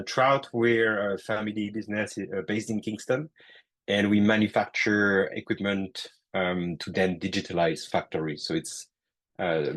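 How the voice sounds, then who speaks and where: A man with a French accent giving a technical webinar presentation over a call, halting often with fillers and restarts. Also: deep voice. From France. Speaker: guest presenter.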